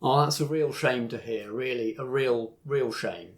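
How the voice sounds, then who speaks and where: A man's voice: mid-range voice, a little slow, lightly acted. A man playing a falsely sympathetic estate agent with oily, salesy politeness.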